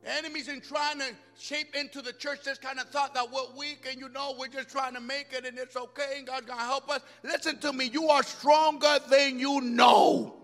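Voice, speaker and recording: High, bright-voiced shouting preacher. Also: male voice, little pitch movement. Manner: alternates shouting with quiet fast asides. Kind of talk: sermon. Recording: music under voice in a long-reverb hall.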